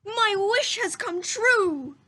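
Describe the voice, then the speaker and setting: A woman's voice: extremely high pitch, expressive, slow, sharp tone, voice pushed up, exaggerated voice. A woman voicing a kindly, doting old male wood carver from a storybook, fond and exclaiming. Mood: overjoyed.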